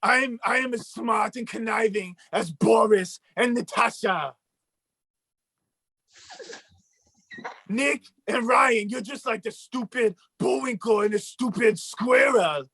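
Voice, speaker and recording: A man doing a gravelly, shouty puppet braggart. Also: very high-pitched, measured pace, hardly any pauses, dry recording, full character voice. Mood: boastful. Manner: shouting, bragging, mock-villainous. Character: boastful mustached male puppet, petty schemer. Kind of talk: comedic puppet bit on a talk show.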